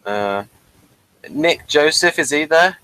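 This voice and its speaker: A man's voice: fairly high pitch, lively intonation, slow pace, sharp tone, smooth, dry recording, poor audio. A man hosting a review show in a casual, conversational style. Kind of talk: casual chat.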